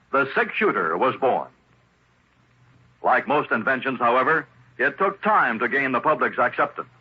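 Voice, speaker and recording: A male announcer reads a scripted historical piece in an earnest, declamatory voice. It is husky and fairly high, with a lively rise and fall. The old broadcast sounds dull and muffled. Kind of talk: scripted narration.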